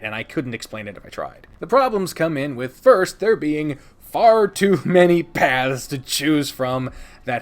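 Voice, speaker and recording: A man hosting a casual countdown review of video games, rambling and informal, swearing freely and speaking with emphatic opinions. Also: a little high. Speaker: video game reviewer and channel host. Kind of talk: casual countdown review commentary, partly scripted.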